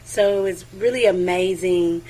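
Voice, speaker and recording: A woman speaking as a podcast guest, friendly and relaxed, with casual fillers. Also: on the low side, unhurried, rough recording. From United States. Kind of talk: casual interview chat.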